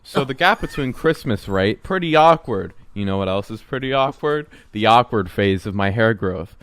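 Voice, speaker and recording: A man's voice: on the low side, expressive, moderate pace, a bit bright, dry recording. A young man co-hosting a podcast, rambling and laughing often in casual chat. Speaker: podcast co-host. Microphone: podcast mic.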